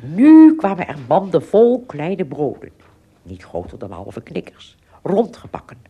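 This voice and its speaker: A woman with a lowish, mellow voice tells a children's story. Her delivery is animated, full of dramatic pauses and small asides, with a slightly husky tone. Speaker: narrator. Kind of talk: scripted children's story narration.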